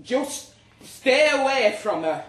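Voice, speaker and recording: A man's voice: very high voice, big pitch swings, slow pace, fairly bright tone, voice pushed up, heavily acted. A man voicing a lovesick, blustering office worker who talks tough and pleads melodramatically in a live comic story reading. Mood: nervous, indignant. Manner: melodramatic, stammering tough talk. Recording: roomy, distant live recording with noise.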